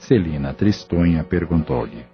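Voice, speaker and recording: A man narrating an audio drama in a measured, solemn way. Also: very deep voice, lively intonation. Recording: dull lo-fi audio with faint music.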